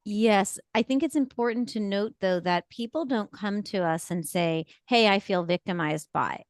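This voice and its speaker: Female co-host for a talk podcast, mid-pitched, confident and explanatory, dry close-miked sound. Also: normal speed, no echo. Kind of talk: casual podcast conversation.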